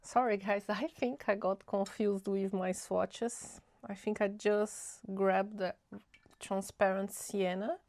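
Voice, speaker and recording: A woman hosting a casual watercolor tutorial, a bit nasal from a cold, talking in an unhurried, chatty way as she thinks aloud. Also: medium pitch, fairly even intonation, a little mellow, dry recording. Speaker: art channel host.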